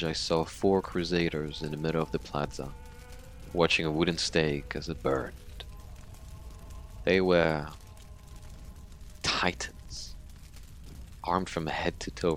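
An actor playing a haunted young Mexican man in his twenties, telling his tragic story in a halting, sweary, informal monologue for an audio drama. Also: low-pitched, a little hiss or hum. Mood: grave, haunted. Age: 20s.

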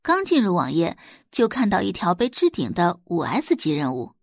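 A woman narrating a web novel as a scripted audiobook, telling the story in an expressive, dramatised way. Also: lowish voice, moderate pace, no room sound, clean studio sound.

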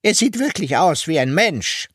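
A man voicing a fussy, mocking little bearded man with a sharp, melodious voice in a storybook reading. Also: a little high, big pitch swings, measured pace, slightly rough, dry recording, clean studio sound, pitched above the performer's own voice, full character voice. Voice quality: sharp, sing-song. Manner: words spaced apart, faintly mocking.

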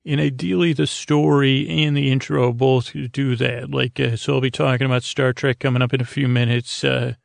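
A man hosting a bedtime podcast in a rambling, halting, goofy monologue, repeating and stammering as he drifts along, with a creaky, gravelly voice. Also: medium pitch, level tone, no echo. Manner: goofy, oddball. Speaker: podcast host.

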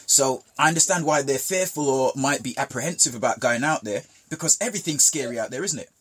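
A man's voice: medium pitch, expressive, fast talker, a bit bright, slightly rough. A man from Jamaica telling childhood stories in casual conversation, with emphatic repetition. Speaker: guest.

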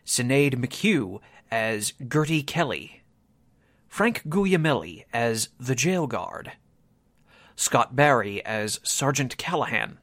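A man reading scripted announcements for a radio theatre show in a formal, measured manner. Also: medium pitch, fairly bright tone, dry recording.

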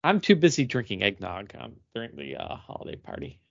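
Man, podcast co-host, average pitch, rambling casual chat, self-correcting, slightly muffled narrow recording. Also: huge pitch swings, a little fast, gravelly. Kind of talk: casual chat among friends.

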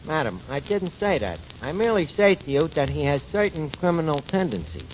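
An actor playing a scheming, slangy tavern manager with a New York accent in 1940s radio comedy. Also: male voice, a little high, big pitch swings, brisk. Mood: wisecracking, defensive. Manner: slangy, wheedling, wisecracking. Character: fast-talking scheming male tavern manager, slangy wiseguy. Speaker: lead comic actor in a radio sitcom. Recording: dull, noisy 1940s radio recording.

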